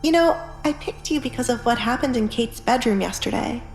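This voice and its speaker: Woman acting a young noblewoman, guarded, commanding, controlled, slightly lifted pitch, audiobook drama over music. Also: animated, brisk, echoey room, lightly acted, low-quality recording. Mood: frank, assured. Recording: music behind the voice.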